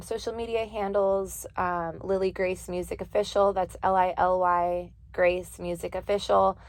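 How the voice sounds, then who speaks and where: A woman's voice: lowish voice, dry recording. Earnest young woman singer, dry close sound. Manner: earnest, laughs easily, many fillers. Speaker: singer and poet guest. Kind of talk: casual interview talk. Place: office studio.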